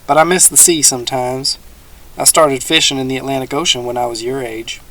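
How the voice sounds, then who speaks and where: A man voicing a gruff, menacing old male swamp hermit with a folksy backwoods drawl. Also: mid-range voice, steady tone, fairly quick, bright, forceful tone.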